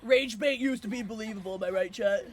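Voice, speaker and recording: A woman streamer with a low alto voice, chatting casually with her viewers while she plays, sarcastic and quick to break into squeals and laughs. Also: very noisy. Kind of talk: casual livestream chat while gaming.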